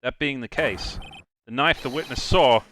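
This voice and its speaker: A man's voice: medium pitch, normal speed, a bit bright, soft background music, close to an everyday voice. A man voicing an earnest young defense attorney in a live fan dub, laying out his case step by step. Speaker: fan voice actor.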